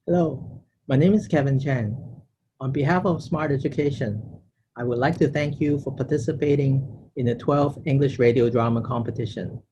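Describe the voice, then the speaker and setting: Guy doing a prepared thank-you speech, president type, mid pitch, bit of noise behind. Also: moderate pace, dark tone, highs cut off. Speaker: organization president giving closing remarks.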